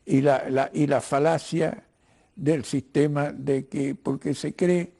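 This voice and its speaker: Older man, Cuban-Argentine accent, mellow gravelly voice, halting professorial delivery, political interview guest. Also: a little high, steady tone. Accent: Cuban-Argentine Spanish. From Cuba. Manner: halting, professorial, repeats words while searching for the next. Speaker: interview guest, writer and lawyer. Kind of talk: political discussion in a broadcast interview.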